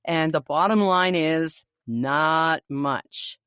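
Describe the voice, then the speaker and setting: A woman's voice: low voice, extremely slow, a bit bright, smooth, dry recording, studio quality. A middle-aged woman with a Canadian accent co-hosting a podcast, chatting casually in a reflective, slightly wry, conversational way. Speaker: podcast co-host. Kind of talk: casual chat.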